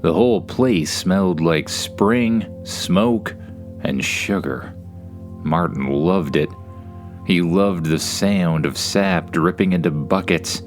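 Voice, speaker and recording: A low-pitched Canadian man narrates a bedtime story for children over guitar music. His delivery is conversational and informal, with a wry, playful dryness. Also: slow pace.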